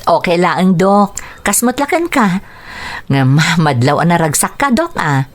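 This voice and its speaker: Expressive Ilocano nurse. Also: female voice, lowish voice, a little slow. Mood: friendly, admiring. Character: respectful, friendly adult hospital nurse, Eli. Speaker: radio drama actor. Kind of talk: Ilocano radio drama acting.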